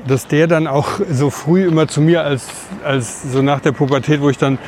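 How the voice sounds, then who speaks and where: A man's voice: on the high side, steady tone, moderate pace, darkish tone, slight background noise. A man in his forties from the Odenwald in southern Hesse, co-hosting a podcast and telling rambling personal anecdotes in casual conversation. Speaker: podcast co-host.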